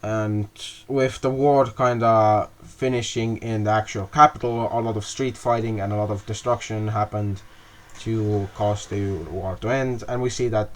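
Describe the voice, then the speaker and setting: A young man casually narrating a map video, halting often with fillers and restarting words as he goes. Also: on the low side, steady tone. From half Russian. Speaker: mapping video creator.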